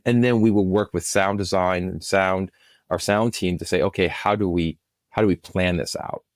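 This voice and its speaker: A man's voice: deep voice, steady tone, normal speed, no room sound, studio quality. A man speaking as a producer and guest in an interview, explaining conversationally and often restarting his phrases.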